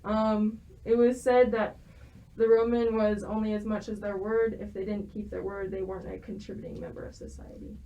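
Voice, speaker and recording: A young woman talks through a topic from prepared notes as a student guest. She stops often to hesitate and restart, in a voice of ordinary pitch. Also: little pitch movement, moderate pace, dark tone, lo-fi sound. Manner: explains from notes with frequent ums and restarts. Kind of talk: prepared explanatory talk.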